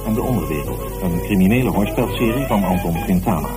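A man's voice: deep voice, animated, fast talker. A man reading scripted credits as the closing announcer of a radio drama. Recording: loud plucked guitar music behind voice. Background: guitar music.

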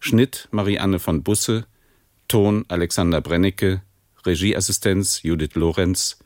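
A male announcer reading the credits of a radio drama in a slow, measured, formal way. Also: deep voice, steady tone, darkish tone, no room sound, studio quality.

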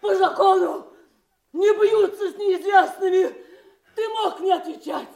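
An actress playing a proud, scheming royal villainess in a classical radio drama, haughty and melodramatic. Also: female voice, extremely high pitch, a little slow, creaky, a little mellow, a faint crowd, heavily acted. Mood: distraught, scornful. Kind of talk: classical stage-style radio drama acting. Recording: echoey audio play recording.

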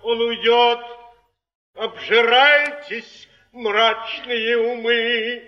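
Bombastic, high-pitched drunken ruined actor. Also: male voice, steady tone, extremely slow, in a large hall, heavily acted. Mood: brooding, defiant. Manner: declamatory, bombastic, tragic. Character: drunken, ruined old-time actor, grandiose and wounded. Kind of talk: theatrical stage acting. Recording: muffled, distant stage play recording.

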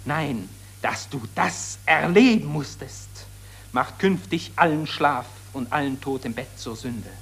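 An impassioned orator in a 1940s radio play, declaiming solemn accusations. His voice is high and rough-edged, with sweeping melodic swings. The rhetoric is grand, addressed to the fallen of war. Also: a bit bright, full character voice. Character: impassioned male satirist accusing warmongers and summoning the war dead.

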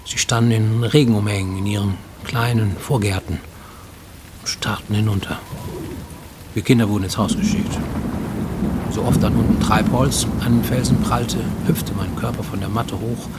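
An actor playing a grown man, orphaned as a child, who recounts his past in a measured radio-play monologue with dramatic pauses. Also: lowish voice, heavy background noise, close to an everyday voice. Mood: grave, hushed. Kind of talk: literary first-person monologue in a radio play. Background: music.

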